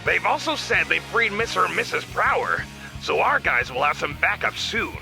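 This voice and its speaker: Casting: dutiful cartoon radio aide man acting, high-pitched with audible creak, busy and earnest. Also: sing-song, moderate pace, heavily acted, low-quality recording. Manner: earnest, reporting. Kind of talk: animated comic dub voice acting. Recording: music behind the voice.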